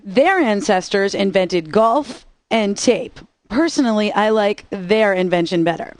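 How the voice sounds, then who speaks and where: A woman's voice: medium pitch, animated, unhurried. A woman radio DJ with sarcastic, wisecracking scripted patter, recorded close on a studio mic.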